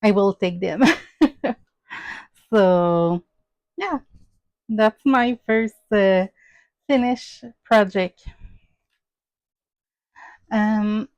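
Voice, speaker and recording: Slow, hesitant woman with a Québécois French accent. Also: mid-range voice, a little mellow, dry recording. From Quebec, Canada. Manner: hesitant, many "um" and "uh" fillers. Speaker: crafting channel host. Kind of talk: casual show-and-tell vlog.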